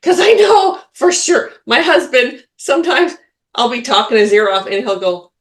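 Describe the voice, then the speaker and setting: A woman's voice: high voice, moderate pace. A woman co-hosting a spiritual podcast, chatting casually, laughing often and restarting her sentences. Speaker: podcast co-host, spiritual medium.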